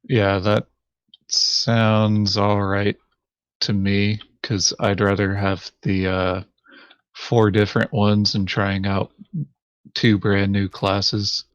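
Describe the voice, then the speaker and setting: A man talking casually over a board game in a low-key, matter-of-fact, slightly hesitant way. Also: little pitch movement, takes it slowly, clear, resonant tone, no echo, lo-fi sound. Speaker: board game player on a playthrough video. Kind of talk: casual chat while playing a board game.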